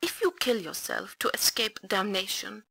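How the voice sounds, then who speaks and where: An actress playing a doomed, frightened Mars crew member leaving a grave, halting last testament in a horror game. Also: female voice, medium pitch, big pitch swings, vocal fry, pushed and bright, breathy edge, no echo, clean studio sound, exaggerated voice. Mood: desperate. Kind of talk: dramatic audio-log monologue in a horror game. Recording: noisy audio-log recording.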